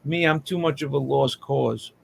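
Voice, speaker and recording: A man's voice: mid-range voice, moderate pace, vocal fry, slight background noise. An American man in casual chat on a live hangout, with a slangy, profane way of talking.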